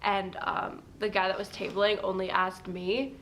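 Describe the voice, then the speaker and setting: Woman student, fry, plain personal anecdote. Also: mid-range voice, flat delivery, measured pace, fairly bright tone, a little hiss or hum, low-quality recording.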